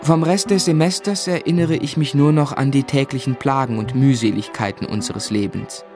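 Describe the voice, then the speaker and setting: An actor playing a grown man reflectively recalling his boyhood, in measured, literary narration for a German radio drama. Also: mid-range voice, music in the background, natural voice. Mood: wistful.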